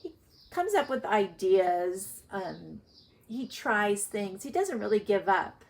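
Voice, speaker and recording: A woman teacher reading aloud to young children and explaining her thinking in a deliberate way. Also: mid-range voice, big pitch swings, creaky, a little mellow. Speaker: primary school reading teacher.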